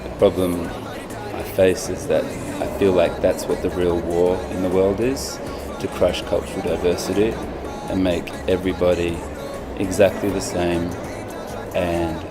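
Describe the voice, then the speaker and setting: A man's voice: low-pitched, flat delivery, unhurried. A man from England giving earnest, reflective talking-head answers in an interview. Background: loud background music.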